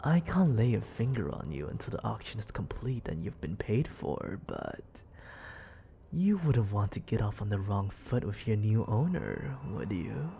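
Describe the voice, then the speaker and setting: A man voicing a menacing, teasing demon lord in an ASMR roleplay, breathy and often dropping to a whisper, condescending and coaxing toward a captive. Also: on the low side, sing-song, mellow, a little hiss or hum, exaggerated voice. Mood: teasing, threatening. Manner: slow, taunting, condescending, coaxing.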